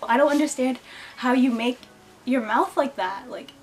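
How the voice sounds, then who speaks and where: Young woman vlogging, kinda high voice, giggles a lot, faint music behind. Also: moderate pace. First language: English. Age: young adult. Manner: chatty and giggly, with playful voices for quotes. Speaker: beauty and lifestyle vlogger. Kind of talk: casual storytelling to camera.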